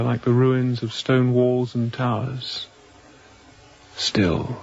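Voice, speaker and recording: A young ship's captain in a radio play, slow and deliberate. He sounds inward and unsure of himself, with a mid-pitched voice. The recording is a little dull and lo-fi. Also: gravelly. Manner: measured, deliberate, reflective. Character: young, newly appointed sea captain, introspective and self-doubting. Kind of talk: radio drama acting with hushed interior monologue.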